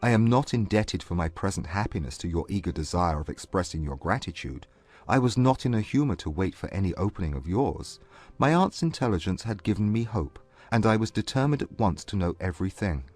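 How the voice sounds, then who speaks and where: A man playing a proud, earnest gentleman suitor in a dramatized period romance audiobook, speaking formally and devotedly. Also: low-pitched, expressive, fairly quick, dry recording, faint music behind. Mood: earnest, reassuring.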